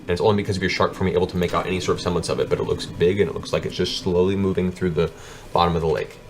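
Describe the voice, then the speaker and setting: A man's voice: low-pitched, little pitch movement, rapid-fire. A man narrating a tabletop fantasy game as game master, in a descriptive, dramatic storytelling manner. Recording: music playing under the voice. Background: background music.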